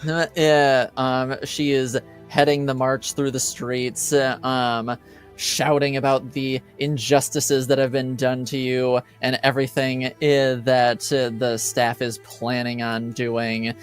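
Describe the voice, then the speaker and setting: Man, game master, slow halting narration, smooth clear voice, faint background music. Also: medium pitch, level tone. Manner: halting, piecing scenes together slowly. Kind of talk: narrating a tabletop roleplaying game.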